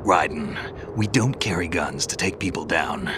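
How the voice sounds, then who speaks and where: An actor in a video game cutscene playing a gruff, gravelly, world-weary veteran soldier who talks tersely and dryly. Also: male voice, a bass voice, animated, moderate pace, background noise. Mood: grave, resolute. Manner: terse, dry, unflappable.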